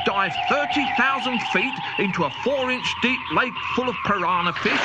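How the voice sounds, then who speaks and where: Lively comic male storyteller. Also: very high voice, background noise. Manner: playful, comic, spirited delivery. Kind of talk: scripted children's audiobook narration.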